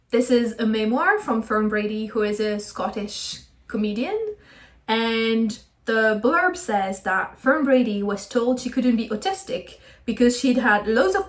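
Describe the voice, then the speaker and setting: A woman talking through her book plans in a friendly, enthusiastic, chatty way, with small laughs now and then. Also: fairly high pitch. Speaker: book vlogger. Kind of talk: casual chatty book video. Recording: echoey room.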